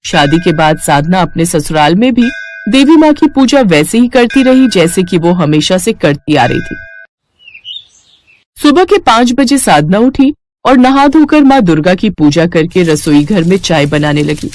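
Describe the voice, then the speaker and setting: A woman's voice: fairly low pitch, animated, unhurried, a little mellow, a little hiss or hum. A woman narrating a scripted moral bedtime story.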